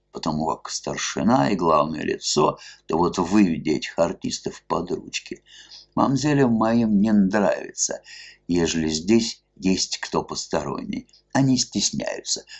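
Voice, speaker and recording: A rowdy, coarse drunken merchant bellows and bullies in a thick, muffled bass, as a man puts him on. He swaggers through folksy insults, loud and low. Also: creaky, slightly rough, exaggerated voice. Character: drunken boorish masked merchant, middle-aged man.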